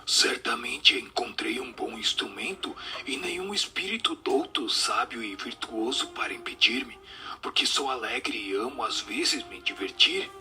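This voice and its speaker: An actor in a radio drama playing the merry, mischievous spirit of a dead street ragpicker, with playful, breathy delivery. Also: male voice, mid-range voice, lively intonation, unhurried, sharp tone. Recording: music under the voice.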